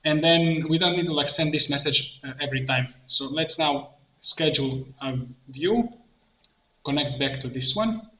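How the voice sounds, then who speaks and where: A man presenting an improvised software tutorial, thinking aloud haltingly as he works at a computer. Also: on the high side. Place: indoor room at a computer. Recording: dull, echoey room, clear background noise. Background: keyboard typing and mouse clicks.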